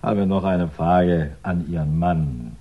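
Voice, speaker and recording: Courteous, probing police inspector. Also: male voice, low voice, takes it slowly. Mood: persistent, formal. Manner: formal, polite, persistent questioning. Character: courteous, probing Scotland Yard police investigator. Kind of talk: 1960s German radio crime drama acting. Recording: dull vintage 1960s radio recording.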